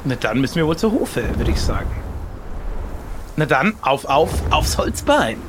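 A man's voice: medium pitch, big pitch swings, moderate pace, background noise, natural voice. A man playing a naive, questioning, eager adventurer in an improvised adventure audio play. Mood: puzzled.

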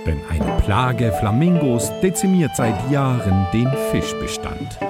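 A man's voice: mid-range voice, sing-song, measured pace. A man playing a pirate storyteller who narrates a scripted audio drama. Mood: theatrical. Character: salty pirate storyteller announcing a harbour. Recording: loud plucked guitar music behind.

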